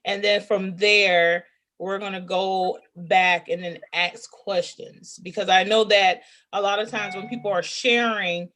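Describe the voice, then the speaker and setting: Relaxed woman hosting a talk show. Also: medium pitch, measured pace. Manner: relaxed, conversational, with fillers and restarts. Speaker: host.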